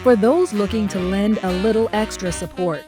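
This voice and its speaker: A woman's voice: medium pitch, moderate pace. A woman reading a scripted promotional announcement as a channel announcer. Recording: music under the voice.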